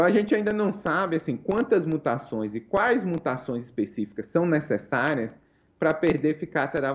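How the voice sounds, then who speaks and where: A Brazilian man, a researcher giving measured, explanatory answers as an interview guest, heard over a lo-fi link. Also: medium pitch.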